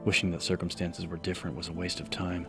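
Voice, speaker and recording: A man's voice: low-pitched, completely flat delivery, quick pace, gravelly. A man reading scripted horror fiction as a dramatic audiobook narrator. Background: background music.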